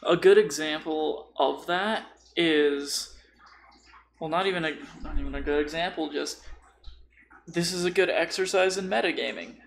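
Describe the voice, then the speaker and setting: A man's voice: fairly high pitch, moderate pace, gravelly, a little hiss or hum. A man in casual podcast conversation, explaining tabletop role-playing in a blunt, joking way. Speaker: podcast speaker.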